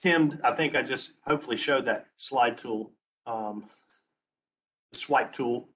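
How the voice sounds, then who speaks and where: A man presenting on a webinar as a transportation planner, losing his voice and talking haltingly, restarting his words. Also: medium pitch, a bit bright, a little hiss or hum. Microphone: video call.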